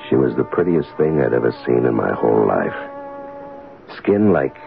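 A man's voice: very deep voice, wildly swooping pitch, unhurried, vocal fry, narrow, tinny audio, loud music behind the voice, exaggerated voice. An actor playing a folksy, fatherly police detective in his fifties, hesitant and conversational, in an old-time radio drama. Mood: wistful, wry. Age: 50s. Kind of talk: old-time radio drama acting with first-person narration. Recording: band-limited, hissy old radio recording.

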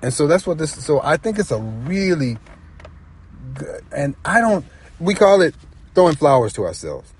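A man's voice: fairly high pitch, big pitch swings, normal speed, long pauses, slightly rough. A man speaking as a guest author, talking reflectively and conversationally about his own writing, repeating phrases for emphasis.

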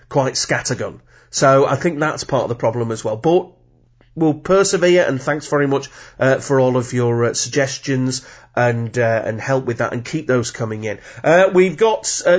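A man with a cold talks loosely and chattily, often restarting his sentences. His voice sounds husky and bunged up, at an ordinary pitch. The recording is somewhat dull and lo-fi. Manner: rambling, self-correcting, chatty. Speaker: podcast host. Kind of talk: casual chat.

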